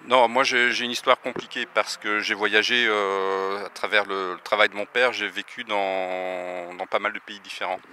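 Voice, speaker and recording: A middle-aged man giving unscripted personal testimony as an interview guest, speaking haltingly and searching for words. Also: on the low side, steady tone, sharp tone, slight background noise. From Belgium, the Netherlands and France.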